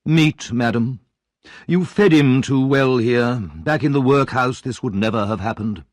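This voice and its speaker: A man voicing a pompous middle-aged male parish official who speaks slowly and heavily, in a storybook reading. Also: medium pitch, no echo, natural voice.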